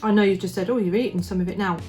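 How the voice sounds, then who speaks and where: A middle-aged woman talks her way through a bake in a loose, rambling way. She keeps restarting her sentences, and her voice sits at a middle pitch. Music plays clearly behind her. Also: fairly even intonation, very fast, darkish tone. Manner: chatty and rambling, many restarts and "you know"s. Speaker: home baker, the host's mother. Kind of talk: casual chat while baking.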